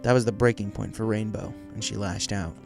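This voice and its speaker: A man's voice: lowish voice, fairly even intonation, a little fast, vocal fry, soft background music. A man reading a story aloud as scripted narration. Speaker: fan fiction reader.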